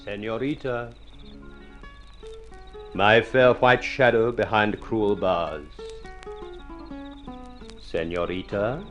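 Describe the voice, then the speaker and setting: A man's voice: on the low side, very slow, long pauses, a bit bright, muffled recording. An actor playing an eloquent, sardonic man who woos in grandiloquent, mock-poetic speech in a vintage radio drama. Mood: florid, ardent. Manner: florid, grandiloquent, theatrical. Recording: old radio drama recording with music.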